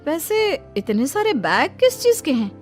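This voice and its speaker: A woman voicing an eager, talkative elder sister-in-law in an animated story, bubbly and emphatic. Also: high-pitched, a little slow, faint music behind, pitched above the performer's own voice. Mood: excited. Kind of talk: animated cartoon story dialogue.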